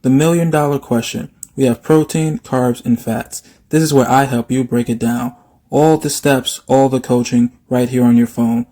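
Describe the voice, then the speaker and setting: A man pitching his services as a personal trainer and nutrition coach in a direct promotional style. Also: steady tone, moderate pace, warm tone.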